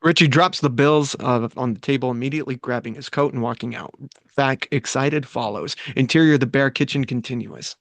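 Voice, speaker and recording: A man reading screenplay stage directions aloud at a table read, in a plain, quick manner. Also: mid-range voice, vocal fry, a bit bright, no room sound.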